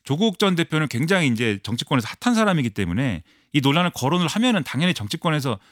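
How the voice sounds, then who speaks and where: Male pundit, quick and lively, higher voice, dry close sound. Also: no echo. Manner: fast, animated explaining with many fillers. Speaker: political commentator. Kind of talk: radio current-affairs analysis.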